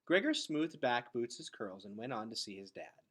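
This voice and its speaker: Expressive man reading a story aloud. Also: mid-range voice, normal speed. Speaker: read-aloud reader.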